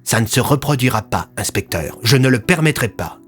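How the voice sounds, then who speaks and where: A man voicing a caring, quick-thinking magician with a gentle, reassuring manner in an audio drama. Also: medium pitch, expressive, faint music behind, close to an everyday voice.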